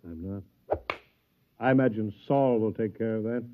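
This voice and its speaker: An actor playing a smug, taunting rival, a cool villain in a 1950s radio drama, sardonic and needling. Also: male voice, lowish voice, normal speed, lightly acted. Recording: tinny, noisy, clicky old radio recording.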